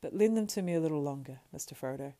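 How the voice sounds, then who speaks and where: A woman's voice: low voice, lively intonation, fast, warm tone. A woman voicing a loyal, practical male hobbit servant from a storybook, deferential and homely in manner. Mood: resolute. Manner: deferential, homely rustic phrasing.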